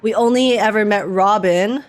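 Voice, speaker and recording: A woman's voice: mid-range voice, normal speed. A woman streamer giving informal, slangy and exclamatory live reactions to a game. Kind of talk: casual live reaction commentary. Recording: game music behind the voice.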